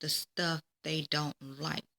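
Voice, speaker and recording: A woman voicing a picky, playful young girl in a children's storybook, with expressive, childlike phrasing. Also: deep voice, takes it slowly, gravelly, full character voice. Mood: defiant. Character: picky, playful young girl who loves her pet duck.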